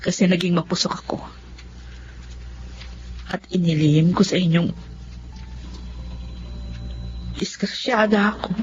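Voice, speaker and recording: An actress playing an earnest young Filipino daughter and single mother in a radio drama, with a heartfelt, deliberate delivery. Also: on the low side, slight background noise, close to an everyday voice. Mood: remorseful. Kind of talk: radio drama acting and first-person narration. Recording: dull lo-fi audio with clear music underneath.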